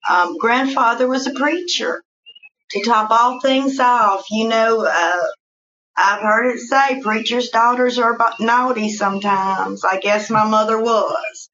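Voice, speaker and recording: A woman with a Southern drawl speaks slowly and unhurriedly, her voice sitting a touch high. She tells her story in a relaxed, chatty way, with plenty of "um"s along the way. The sound is a little dull and lo-fi. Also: a little hiss or hum. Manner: slow, warm storytelling with fillers. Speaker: guest. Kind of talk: casual interview.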